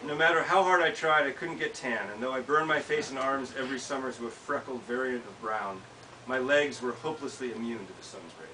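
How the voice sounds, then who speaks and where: A man's voice: mid-range voice, a little fast, pushed and bright. An American man in his thirties, an author giving a live reading of his personal essay in a small indoor bookshop. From United States. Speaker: author reading from own work. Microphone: camera several feet away. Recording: distant mic, hissy background noise.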